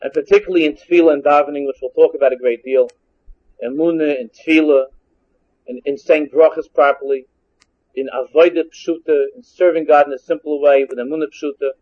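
A man's voice: a little high, fairly even intonation, brisk, a little mellow. A man whose first language is Hungarian teaches Torah from a text, reading Hebrew phrases and translating them one by one, often repeating and restarting. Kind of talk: text-based Torah lesson. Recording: dull lo-fi recording.

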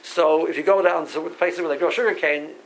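A man's voice: on the high side, fairly even intonation, a little fast, gravelly, a little hiss or hum, poor audio. A man from Barbados giving a halachic lecture, often repeating words as he works through sources and reading out Hebrew legal terms. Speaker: rabbi teaching a Talmud class.

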